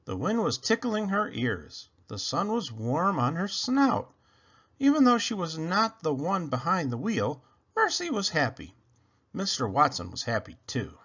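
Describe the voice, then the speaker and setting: Very animated male storybook reader. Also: on the high side, measured pace, breathy edge, lo-fi sound. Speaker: read-aloud narrator. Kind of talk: expressive children's storybook narration.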